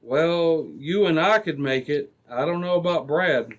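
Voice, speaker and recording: A man's voice: on the high side, unhurried, close to an everyday voice. A man playing a brave, decisive Mountie sergeant in an old-time radio adventure, speaking with authority. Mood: steady, reassuring. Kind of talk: re-created old-time radio drama acting.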